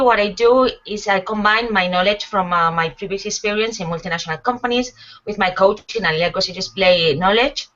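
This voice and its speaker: Bright-voiced Spanish woman presenting online. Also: mid-range voice. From Spain. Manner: steady explanatory delivery with frequent uh fillers. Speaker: guest speaker, team coach. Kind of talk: webinar presentation. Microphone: online call audio. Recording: muffled web call audio.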